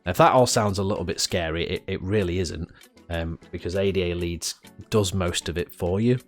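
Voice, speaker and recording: A man with a cold, sniffly and a little stuffy, talks through a product review in a very low voice. He rambles casually, with plenty of "uh"s and restarts, while faint music plays quietly behind him. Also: fairly quick. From United Kingdom. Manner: chatty, frequent "uh" fillers and restarts. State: has a head cold, sniffling.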